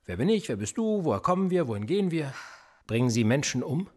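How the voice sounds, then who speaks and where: Sardonic police interrogator. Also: male voice, a little high, fast talker. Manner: sarcastic, calmly probing. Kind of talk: radio play acting.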